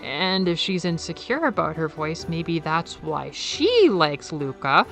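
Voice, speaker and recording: A young woman with a soft, airy, slightly nasal voice sitting in a fairly low register, chatting casually as if to her viewers. She rambles and repeats words, then jumps way up in pitch when she gets excited. Faint music plays underneath. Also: a little slow, vocal fry. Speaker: YouTuber. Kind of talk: casual video essay.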